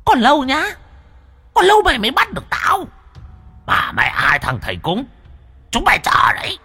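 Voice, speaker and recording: A man voicing a spiteful female ghost that wails and stammers in a shrill voice. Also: wildly swooping pitch, moderate pace, creaky, pushed and bright, breathy edge, full character voice. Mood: taunting. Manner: shrill wailing, stammering pleas. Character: shrieking female ghost, spiteful then begging.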